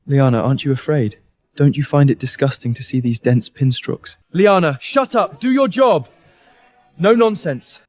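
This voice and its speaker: An actor voicing a bitter, withdrawn young man who snaps curtly at a cheerful girl in a dubbed animated drama. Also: fairly high pitch, normal speed, lightly acted. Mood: irritated. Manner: curt and irritable, snapping.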